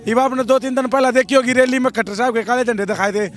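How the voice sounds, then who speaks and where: A young man with a Haryanvi accent, a protesting farmer, speaking hoarsely in a blunt, defiant, rambling way in a street interview. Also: very high-pitched, steady tone, fast, soft background music.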